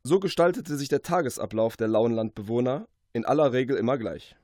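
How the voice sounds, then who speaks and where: Male narrator for humorous fantasy audiobook, mid-pitched, formal mock-epic delivery, very dry recording. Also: fairly quick, a little mellow, no room sound. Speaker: author reading from his own book. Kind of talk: scripted literary narration of a comic fantasy parody.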